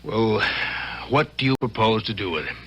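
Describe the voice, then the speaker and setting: A man's voice: on the low side, expressive, moderate pace, breathy edge. A man acting an earnest, skeptical military aide in his twenties in a 1970s radio drama, formal and puzzled. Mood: baffled. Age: 20s. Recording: noisy vintage 1970s radio broadcast.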